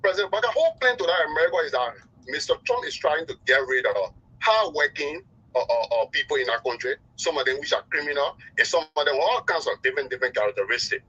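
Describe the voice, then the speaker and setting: Male caller, Liberian accent, forceful bright voice, swooping pitch, emphatic political talk, muffled call audio. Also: very animated, fast, breathy edge. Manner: emphatic, exhorting, repeats phrases. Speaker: phone-in caller. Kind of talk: impassioned political commentary.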